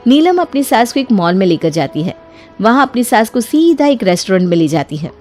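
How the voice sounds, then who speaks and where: Animated woman storyteller with music behind. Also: on the high side, brisk. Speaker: narrator. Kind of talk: scripted story narration.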